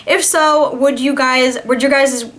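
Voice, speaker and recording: A woman's voice: sits high, fairly even intonation, measured pace, fairly bright tone. A twenty-year-old woman chatting casually in a question-and-answer vlog, informal and talkative as she reads and answers viewer questions.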